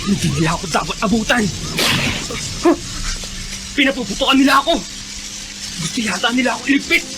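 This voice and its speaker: An actor playing a desperate young fugitive in a Filipino radio drama, with panicked, defiant shouting and a Filipino accent. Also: male voice, very high-pitched, animated, a little fast, music in the background, low-quality recording. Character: desperate fugitive young man fleeing on a motorcycle.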